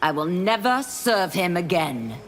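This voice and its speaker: A righteous demon woman from a fighting game speaks with solemn resolve. Her lowish voice moves expressively through long reverb, with loud noise behind. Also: big pitch swings, a little slow. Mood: resolute, stern. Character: stern, righteous demon woman seeking redemption. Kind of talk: fighting game character dialogue.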